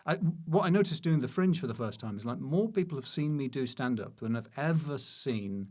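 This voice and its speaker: A man's voice: mid-range voice, animated, fairly quick, a little mellow. A British man, a comedian appearing as a podcast guest, chatting casually in a wry, self-deprecating and slightly hesitant way. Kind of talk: casual interview conversation.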